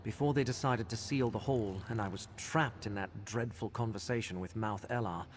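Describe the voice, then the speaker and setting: A man's voice: on the low side, normal speed, a little hiss or hum, lightly acted. Courteous, earnest curate, played by a man. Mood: confiding. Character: courteous, earnest male curate in a fantasy world. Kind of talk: video game voice acting.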